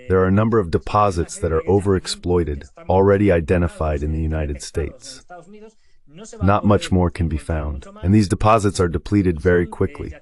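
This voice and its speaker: Man, very deep pitch, political analysis, translated voice-over delivery. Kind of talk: dubbed political commentary.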